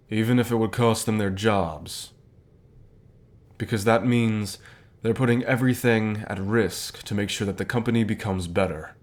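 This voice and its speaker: A man acts out a calm company boss with a fairly low voice. He talks in a measured, unhurried way, leaving pauses between phrases. It is an intimate ASMR roleplay, encouraging and lightly flirtatious in temper. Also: natural voice. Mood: earnest, reassuring. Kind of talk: ASMR roleplay, softly acted one-sided dialogue.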